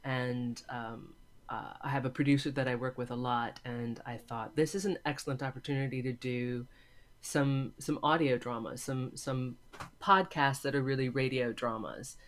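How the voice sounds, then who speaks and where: A woman's voice: extremely low pitch, steady tone, normal speed. A woman from California with an American accent, answering conversationally as an interview guest. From California, USA. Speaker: interview guest, film lecturer and voice actor.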